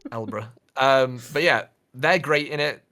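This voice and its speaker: A man talks as a podcast co-host in a casual, conversational way, at a middling pitch. He is informal and opinionated, putting plenty of emphasis on what he thinks. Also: unhurried, bright, forceful tone. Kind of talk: casual chat about films and games.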